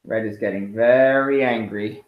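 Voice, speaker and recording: A man reading a picture book aloud to children in an expressive, emphatic storytelling way, as a school principal at home. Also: lowish voice, a little slow, dull audio. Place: at home, indoors. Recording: roomy, echoey home recording.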